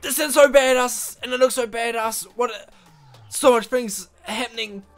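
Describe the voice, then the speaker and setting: A young man with a husky morning voice, hosting an excited, slangy music reaction vlog from a small bedroom on a studio mic. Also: very high-pitched, moderate pace. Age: young adult. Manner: excitable, animated, exclamatory, slangy. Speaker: reaction channel host. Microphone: studio mic in front, headphones on.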